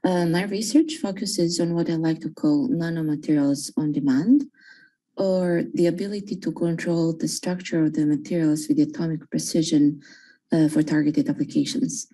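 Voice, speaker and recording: A woman professor from Croatia giving a measured presentation, with frequent fillers. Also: low voice, steady tone, mellow. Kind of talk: presentation talk.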